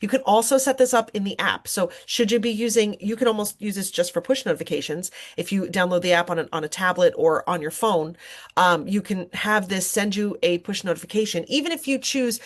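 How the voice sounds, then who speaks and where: A woman presenting an informal software workshop as an online instructor, talking conversationally and encouragingly with examples from her own teaching. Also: medium pitch, fairly quick. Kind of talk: informal software tutorial with screen demonstration.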